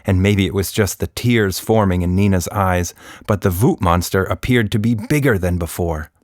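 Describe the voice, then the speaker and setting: A man's voice: low voice, normal speed, a little mellow, dry recording, studio quality. A man narrating a scripted children's story as a podcast storyteller.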